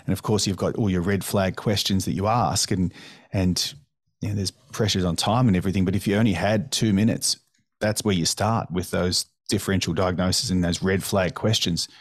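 Low-voiced man hosting a podcast, dry sound. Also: steady tone, fairly quick, no room sound. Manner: thoughtful, affirming with frequent "mm"s. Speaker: podcast host. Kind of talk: conversational interview.

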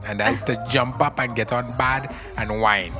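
A man's voice: mid-range voice, fairly even intonation, normal speed, over music. A man from Trinidad, a podcast guest telling travel stories in a laid-back, slangy way and laughing often. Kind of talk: casual chat.

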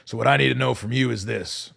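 An actor playing a weary middle-aged colonel in a radio drama, measured and commanding. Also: male voice, medium pitch, fairly bright tone, full character voice. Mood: measured, probing. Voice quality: gravelly, husky. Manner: measured, authoritative.

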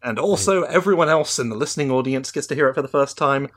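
A man's voice: medium pitch, fast, a bit bright. A man co-hosting a podcast in a casual, conversational style. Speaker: podcast co-host.

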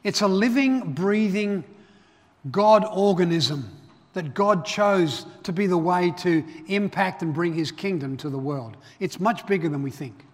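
A middle-aged man, born in Ireland and raised in Australia, preaching a sermon in a relaxed, conversational way, fillers and restarts. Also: high voice, a little slow. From Northern Ireland. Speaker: pastor. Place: church auditorium. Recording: reverberant church hall.

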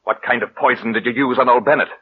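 An American actor playing a bitter, fearful schemer with intense, dramatic delivery in mid-century radio suspense style. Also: male voice, medium pitch, steady tone, quick pace, breathy edge. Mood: bitter, accusing. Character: bitter, fearful man, scheming lover turned accuser. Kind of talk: 1940s-50s American radio suspense acting. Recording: muffled vintage radio recording.

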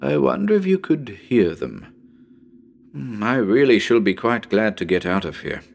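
A man's voice: on the low side, big pitch swings, unhurried, vocal fry, full character voice. A man playing a nervous radio broadcaster on a ghost hunt in a dramatic reading, talking to listeners in a chatty, hesitant, uneasy way. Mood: rattled, gloomy. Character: nervous male radio broadcaster ghost hunting in haunted house.

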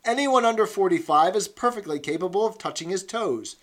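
A man playing a shrill, bossy drill instructress. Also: animated, slow pace, bright, forceful tone, heavily acted. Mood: bossy, exhorting. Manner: shrill, barking commands. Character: shrewish, bossy woman fitness instructress, late thirties.

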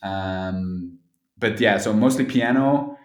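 A man's voice: fairly low pitch, takes it slowly, echoey room. A man from Belgium, a musician guest on a podcast call, chatting casually with lots of hesitations and restarts. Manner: hesitant, many fillers and restarts. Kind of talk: casual interview chat. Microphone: phone call.

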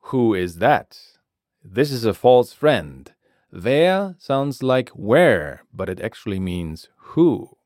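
Male podcast teacher for scripted lessons: slow, deliberate delivery, lively rise and fall in a lowish voice. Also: no echo, clean studio sound. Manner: clear, deliberate, repeats phrases for learners. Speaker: language teacher and podcast host.

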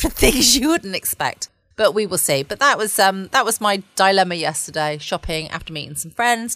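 A woman chats gently as the host of a bedtime podcast. Her voice is on the lower side for a woman. It is recorded dry and close in a small home studio. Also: no room sound. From England. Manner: gentle and chatty. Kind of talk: calm bedtime podcast chat.

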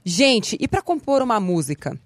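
A woman hosting a radio talk show, playful and animated. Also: on the high side, moderate pace, no room sound. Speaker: show host.

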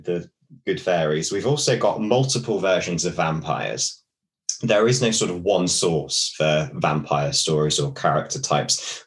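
Low-voiced man explaining folklore. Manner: chatty, many fillers and restarts. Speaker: podcast co-host. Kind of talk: casual podcast chat, folklore explainer.

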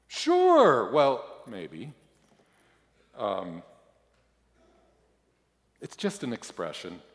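Guy doing a flustered churchgoer pleading his case, stammery and defensive, pitch jumping all over, big echoey room. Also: huge pitch swings, drawn-out words, heavily acted. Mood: nervous, stammering. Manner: stammering, protesting, eager to justify himself. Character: flustered, defensive believer arguing with God after death. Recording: echoey hall, long reverb.

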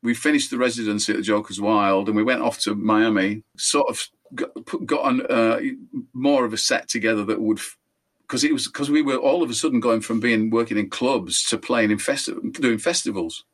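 An older man, a musician being interviewed, telling rambling anecdotes in a relaxed way and correcting himself as he goes. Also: fairly quick. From England. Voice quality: high voice. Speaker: musician guest.

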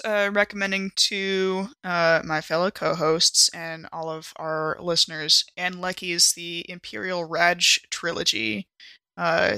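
Woman, bright sharp tone, gravelly edge, dry close sound, chatty co-host. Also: lowish voice, dry recording. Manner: chatty, laughing, stumbling over words. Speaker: co-host and player. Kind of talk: casual podcast chat.

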